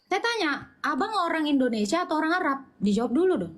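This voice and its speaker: Insistent high-voiced woman debating. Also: very animated, fast talker. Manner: mocking, insistent, repeats her question; informal slangy speech. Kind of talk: heated online debate.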